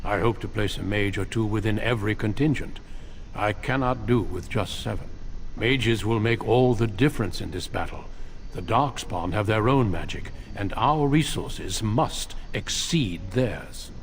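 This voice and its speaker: An actor playing a polite, resolute middle-aged warrior in a fantasy video game, speaking in a formal, measured, earnest way. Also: male voice, lowish voice, slight background noise.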